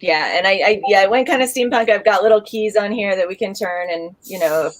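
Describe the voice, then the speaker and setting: A woman's voice: medium pitch, a little fast. A woman giving a casual show-and-tell presentation of her own handmade project. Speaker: maker presenting a craft electronics project.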